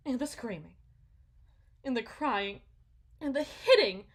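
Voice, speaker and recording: Woman acting a slow-talking, possessive, delusional mother. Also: high voice, a bit bright, exaggerated voice. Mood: fierce, possessive. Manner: theatrical, drawn-out, deliberate phrasing. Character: delusional, possessive, condescending wealthy mother, obsessively doting. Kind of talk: ASMR roleplay, dramatic monologue.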